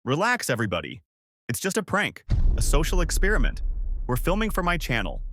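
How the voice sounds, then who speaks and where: A man voicing a grinning young male prankster, excited, boastful and teasing in a storytelling piece. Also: fairly high pitch, brisk, a little hiss or hum, voice pushed up, exaggerated voice. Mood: gleeful, mocking.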